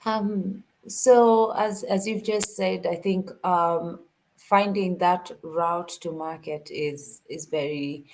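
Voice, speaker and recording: A woman's voice: on the low side, steady tone, slow pace, gravelly, low-quality recording. A woman speaking as a business panelist on a video call. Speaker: panelist, leather goods manufacturer. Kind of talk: panel talk.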